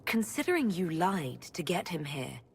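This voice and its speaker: A steady leader of a fantasy order in a video game. Her voice is on the low side, and she talks in a measured, direct, supportive way over faint background ambience. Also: vocal fry, slight background noise. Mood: firm, supportive. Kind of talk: video game dialogue acting.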